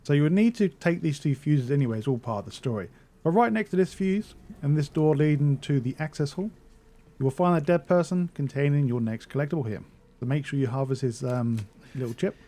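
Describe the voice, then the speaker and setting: Guy doing a chill game walkthrough, slightly high voice, soft mellow tone. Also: fairly quick. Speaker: video game guide narrator. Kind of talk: casual walkthrough commentary.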